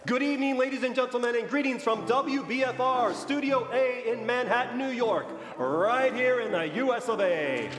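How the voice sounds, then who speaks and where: A man's voice: very high voice, moderate pace, few pauses. A man playing a grand, welcoming host of a 1940s radio show. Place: large church stage before an audience. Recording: echoey hall, music behind.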